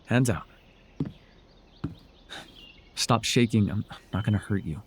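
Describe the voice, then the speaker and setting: A man playing a proud, sardonic telepathic supervillain with hidden tenderness in an ASMR roleplay, theatrical and condescending with a husky voice. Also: fairly low pitch, fairly quick, slight background noise, natural voice. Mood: soothing, steady. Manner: theatrical, grandiose, flustered, dryly condescending.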